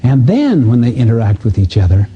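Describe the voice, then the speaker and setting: A man's voice: medium pitch, big pitch swings, a little fast, mellow. A man speaking as a motivational seminar teacher, emphatic and full of rhetorical questions. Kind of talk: live self-help seminar talk, teaching with acted-out dialogues. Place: seminar room before an audience. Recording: dull, muffled lo-fi audio.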